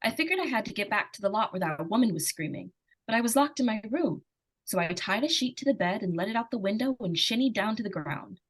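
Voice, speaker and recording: A woman's voice: mid-range voice, lively intonation, fast, husky, low-quality recording. A woman playing an earnest, determined young schoolgirl who tells her story in plain, insistent words in an old-time radio suspense drama. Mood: earnest, narrating.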